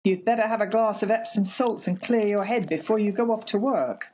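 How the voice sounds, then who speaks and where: A woman's voice: mid-range voice, fairly quick, darkish tone, slight background noise, natural voice. A woman playing a practical older mother in an amateur radio play, chiding and dismissive in manner. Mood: scolding, sceptical.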